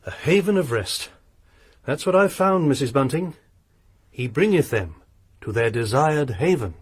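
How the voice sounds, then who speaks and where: An actor playing a secretive, eccentric, pious gentleman lodger, speaking in a clipped, formal, commanding way. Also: mid-range voice, expressive, measured pace, slightly rough. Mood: prim. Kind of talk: radio drama acting.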